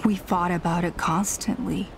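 A woman's voice: on the low side, fairly even intonation, creaky, background noise. Wistful, regretful elder mother. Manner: measured, wistful. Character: proud, sorrowful elder woman, a regretful mother. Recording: music behind the voice.

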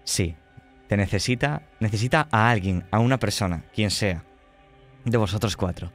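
A man with a low voice narrates a tabletop horror game as its game master. Faint music plays behind him. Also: normal speed.

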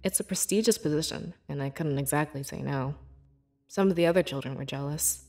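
Loyal young furry scribe girl for an rpg, kinda low, measured and sincere. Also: a little mellow. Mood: reflective, sincere. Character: young female red-furred beast scribe, devoted and earnest. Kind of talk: scripted video game character dialogue.

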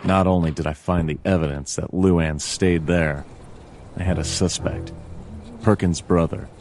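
An actor playing a dry, weary bird detective, narrating a noir adventure game in terse deadpan. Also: male voice, deep voice, a little slow. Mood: musing, deadpan. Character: brooding anthropomorphic bird detective, adult male, dry and weary. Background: jazzy background music.

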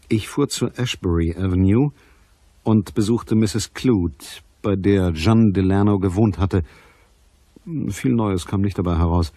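Male detective narrator, radio crime play: low, husky, matter-of-fact, recorded dry. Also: dark tone, no room sound.

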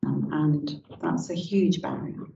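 A woman's voice: low voice, slow, creaky, warm tone, a little hiss or hum. A woman who founded a charity, speaking earnestly on a panel from her notes. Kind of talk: panel discussion. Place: university seminar room. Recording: echoey room from a distance, dull.

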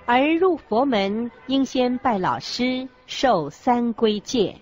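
A woman's voice: a little high, animated, slow pace, a little mellow. A woman reading scripted religious narration as co-narrator of a radio lecture. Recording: dull lo-fi audio with background music.

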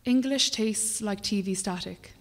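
A young Irish woman poet reading her work aloud in a measured, rhythmic way at a lectern microphone, at times dropping to a whisper. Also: mid-range voice, big-room sound. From Ireland. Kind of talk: poetry reading. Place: small brick-walled performance venue, indoors. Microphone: stand mic at a lectern.

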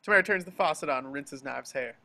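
A man's voice: a little high, fast talker, gravelly, pushed and bright. A man narrating scripted action and scene headings for an amateur table read. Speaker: stage-direction reader. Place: home, group video call.

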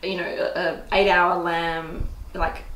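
A woman's voice: lowish voice, little pitch movement, measured pace, fairly bright tone. A young woman hosting a casual chat, eager and full of interested reactions. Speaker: show host. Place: kitchen table in a home, indoors. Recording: roomy echo with clear background noise.

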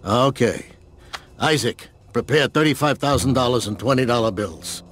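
An actor playing a shrewd older loan shark in a video game, measured and courteous with a threatening edge. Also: male voice, mid-range voice, fairly bright tone, soft background music, close to an everyday voice. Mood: quietly menacing. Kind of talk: video game cutscene acting.

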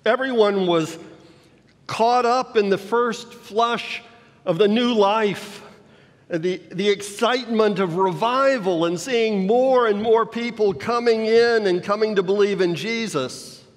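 An older man in his sixties preaching a sermon from a lectern in a church sanctuary, teaching slowly and checking in with his listeners. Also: high-pitched. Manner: slow teaching delivery, checks in with the congregation. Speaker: pastor. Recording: long hall reverb in a church.

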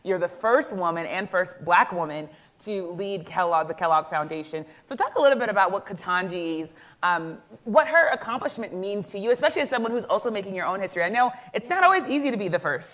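A woman in her thirties whose first language was Haitian Creole, interviewing on an indoor festival stage with a lapel mic, lively and laughing easily. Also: medium pitch, quick pace. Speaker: interviewer, journalist. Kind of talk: onstage festival conversation.

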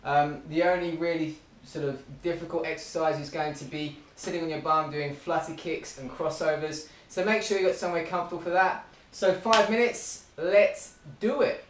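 A high-voiced man in his thirties gives upbeat, encouraging workout cues while exercising, a little out of breath. He is recorded indoors by a camera across the room, so his voice sounds distant. The living room adds an echoey ring. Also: fairly even intonation, normal speed, few pauses, a little hiss or hum. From Australia. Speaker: running club fitness coach. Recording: roomy, distant camera audio.

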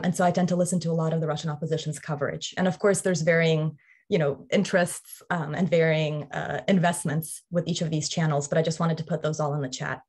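Woman in her thirties, lowish voice, panelist presenting over a video call, fluent with many um fillers. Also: a little mellow. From Ukraine. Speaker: film scholar panelist.

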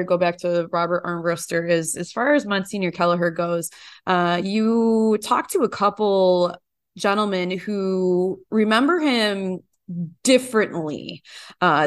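A woman's voice: lowish voice, no echo, clean studio sound. A woman hosting a podcast interview, informal and conversational, recapping and checking with tag questions.

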